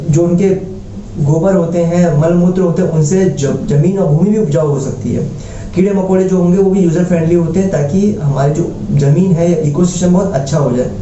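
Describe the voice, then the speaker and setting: Very dark-voiced man, echoey lo-fi recording. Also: fairly high pitch. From India. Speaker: social commentary vlogger. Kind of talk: opinion monologue addressed to viewers. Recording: dull lo-fi, roomy echo, faint noise.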